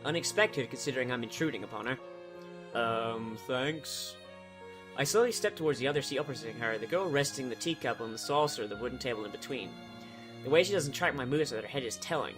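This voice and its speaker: A man reading a visual novel aloud, cutting in with sarcastic, sweary jokes. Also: medium pitch. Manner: sarcastic, swears freely, breaks off to joke. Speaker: let's player.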